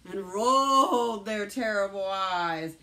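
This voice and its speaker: Slow, dramatic woman reading a storybook. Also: mid-range voice. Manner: dramatic, with hushed whispered phrases and drawn-out words. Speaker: teacher reading a story aloud. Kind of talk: expressive children's storybook narration.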